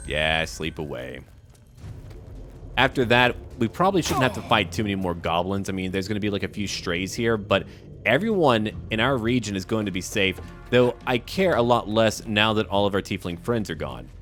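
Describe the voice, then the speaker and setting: Low-voiced man doing lively game commentary. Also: normal speed, faint music behind. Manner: talks to game characters and thinks aloud. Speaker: gaming video host playing and narrating. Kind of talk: casual let's-play commentary.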